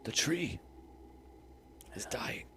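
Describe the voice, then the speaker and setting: A man voicing an old blind male elf seer, gentle and a little off, in a low, soft old-man voice. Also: drawn-out words, whispered. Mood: hushed. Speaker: player voicing a character.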